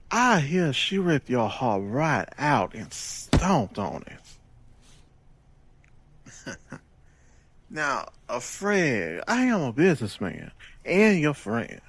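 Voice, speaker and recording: An actor playing a mock-courteous gangster boss in an audio drama, with florid, formal phrasing. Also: male voice, medium pitch, wildly swooping pitch, slow, long pauses, heavily acted. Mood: smooth, scheming.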